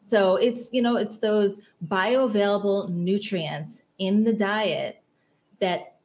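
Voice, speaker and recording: A middle-aged woman telling her story as a podcast guest, chatty and quick to laugh. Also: medium pitch, a little mellow. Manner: chatty, laughs often, repeats words when starting. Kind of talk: casual interview storytelling.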